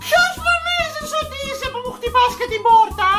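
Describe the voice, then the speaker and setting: Shrill, squeaky scolding Greek mother. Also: animated, a bit bright, faint music behind. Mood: exasperated scolding. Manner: nagging, exasperated, theatrical guilt-tripping. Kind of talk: comic recital of stock parental catchphrases.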